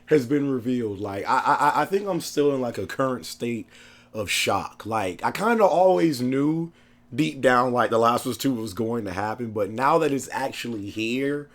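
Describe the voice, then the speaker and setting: A man with a cold, his voice hoarse, chatting excitedly about a video game on his channel, slangy and laughing often. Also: medium pitch, moderate pace. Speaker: gaming YouTuber. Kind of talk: casual gaming chat.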